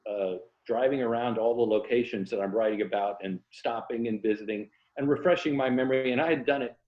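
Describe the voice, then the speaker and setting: An American man talks casually as an interview guest, telling stories in a reflective, self-deprecating way. His voice sits at an average pitch. The room is clearly echoey and the sound is a little dull and lo-fi. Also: fairly quick. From Florida, USA. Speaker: interview guest, fiction writer and former journalist. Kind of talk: casual interview conversation about writing.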